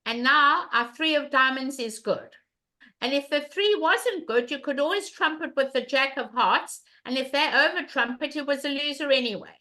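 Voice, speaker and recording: Loud, bright-voiced older woman teaching bridge. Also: high voice, normal speed. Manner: emphatic, repeats questions to the class. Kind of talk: online bridge lesson.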